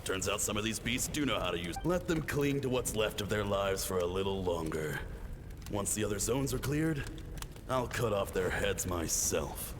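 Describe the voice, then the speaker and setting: A man's voice: lowish voice, expressive, normal speed, noisy background, lightly acted. A man playing a cruel, cold, contemptuous military commander in a video game. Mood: menacing, smug. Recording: long reverb, clear background music.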